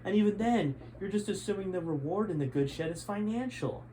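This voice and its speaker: A man's voice: high voice, lively intonation, fairly quick, warm tone, slight background noise, voice pushed up, low-quality recording. A man voicing a smug, probing male explorer in a storybook reading, with sarcastic delivery. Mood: challenging.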